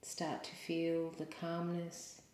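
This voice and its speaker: A woman yoga teacher leading a guided meditation in a calm, soothing, measured way with pauses. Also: low voice. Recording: roomy, echoing sound.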